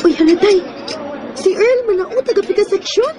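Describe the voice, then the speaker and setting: A woman's voice: very high-pitched, moderate pace, crowd noise. An actress playing a playful, encouraging teenage schoolgirl in a radio drama, with a Cebuano accent and a teasing manner. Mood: excited, reassuring. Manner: giggly, teasing. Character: playful, encouraging teenage high school girl, best friend. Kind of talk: Bisaya radio drama acting.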